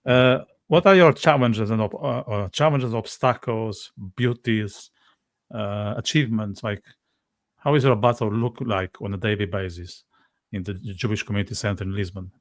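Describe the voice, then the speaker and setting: A man hosting a podcast panel, asking questions with quick, apologetic asides. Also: on the low side, no room sound, studio quality. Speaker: podcast host. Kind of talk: interview questions.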